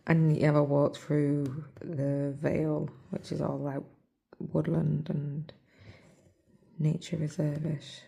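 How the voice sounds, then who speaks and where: An English woman with a hoarse, rough voice, talking softly and almost in a whisper into her phone while she stitches. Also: deep voice, flat delivery, slow pace. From England. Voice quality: soft, hushed, near-whispered voice. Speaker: cross-stitch vlogger. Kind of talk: casual chat while stitching.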